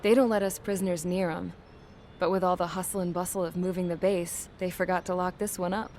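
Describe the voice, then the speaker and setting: A woman's voice: lowish voice, fairly even intonation, a little fast, darkish tone, close to an everyday voice. An actress playing a cocky, resourceful young prisoner, speaking casually and wryly in a game cutscene, with noise behind her. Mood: wry, confident. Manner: casual, slangy, wry. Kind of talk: video game cutscene narration and dialogue.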